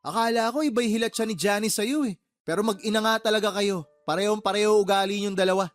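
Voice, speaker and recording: A man's voice: high voice, quick pace, soft background music, lightly acted. A man playing a fed-up, exasperated uncle and boss in a Tagalog radio drama, scolding his sister with heated, sarcastic questions. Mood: angry, fed up.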